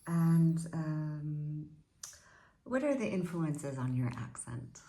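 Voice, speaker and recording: A woman's voice: low-pitched, animated, slow, mellow. A woman interviewer asking questions in a hesitant, searching way with restarts. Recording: roomy echo.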